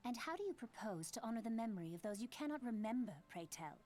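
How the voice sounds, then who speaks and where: An actress voicing a sharp-tongued, scornful young woman who talks in a quick, sarcastic way. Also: on the high side, lively intonation, close to an everyday voice.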